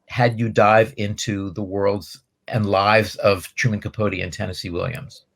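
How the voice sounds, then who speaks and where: A man hosting a film festival Q&A, speaking in an articulate, effusive way with measured phrasing. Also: on the low side, flat delivery, vocal fry, fairly bright tone. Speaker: festival host interviewing a film director. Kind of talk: moderated Q&A interview.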